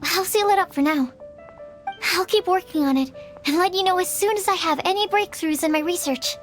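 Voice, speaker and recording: A shy, eager young researcher from a fantasy game. Her voice is very high and girlish, and she speaks sweetly and earnestly over soft music. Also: fairly quick. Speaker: video game character. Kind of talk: scripted game dialogue acting.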